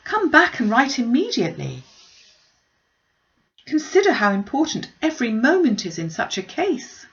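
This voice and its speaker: Woman acting an urgent, eager young gentlewoman, higher-voiced. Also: huge pitch swings, moderate pace, recorded from a distance, lo-fi sound, heavily acted. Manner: urgent, exclaiming. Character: eager, impatient young gentlewoman daughter, anxious for news.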